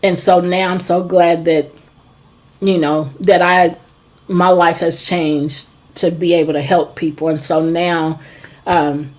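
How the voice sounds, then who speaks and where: Middle-aged woman, talk-show host and coach, very slow hesitant delivery. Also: lowish voice, little pitch movement. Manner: slow, hesitant, many ums. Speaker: trauma and forgiveness coach. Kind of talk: casual chat.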